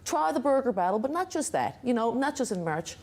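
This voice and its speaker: A woman's voice: a little high, big pitch swings, brisk, a little mellow. Emphatic, persuasive female interview guest. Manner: emphatic, persuasive, repeats key words. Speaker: interview guest, downtown business association representative. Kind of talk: promotional interview talk. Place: TV studio.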